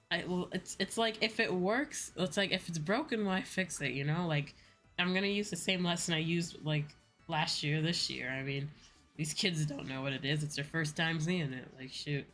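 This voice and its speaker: Low-pitched chatty woman streamer, music behind. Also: fairly bright tone. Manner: chatty, rambling, heavy use of like, casual swearing. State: sleepy. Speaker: VTuber livestreamer; school teacher. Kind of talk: casual livestream chat to viewers.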